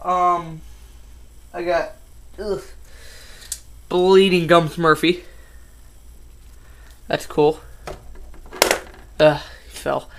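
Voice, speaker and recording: A man's voice: a little high, fairly even intonation, drawn-out words, long pauses, fairly bright tone, slight background noise. A fifteen-year-old boy chatting casually about his toy collection, rambling with lots of ums and restarts. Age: teens. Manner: rambling, with many ums and self-corrections. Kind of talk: casual collection update vlog.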